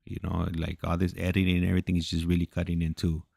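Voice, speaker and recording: A man chatting casually as he hosts a livestream, halting, repeating himself and losing his thread. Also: deep voice, completely flat delivery, vocal fry, a little mellow, no room sound, studio quality. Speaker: livestream host. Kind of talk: casual rambling livestream chat.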